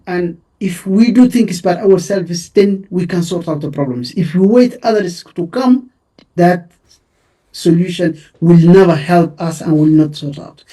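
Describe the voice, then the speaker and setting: A man's voice: fairly high pitch, dark tone, far from the mic. A man whose first language is Somali, speaking in an interview with many fillers and restarts. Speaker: researcher guest. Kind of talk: interview discussion.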